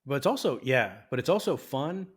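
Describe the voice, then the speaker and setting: A man co-hosting a relaxed podcast, mid-pitched and loose with his language. He laughs often between points and slips into a sincere, reflective tone when he talks about trust and feeling safe with friends. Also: fairly quick, slightly rough. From Mexican-American. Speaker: podcast co-host. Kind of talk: casual chat.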